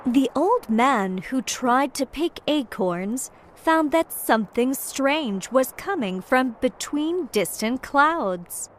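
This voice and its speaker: A woman narrating a children's fairytale from a script, in clearly phrased storytelling style. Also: sits high, animated, slow pace, hardly any pauses, a little hiss or hum.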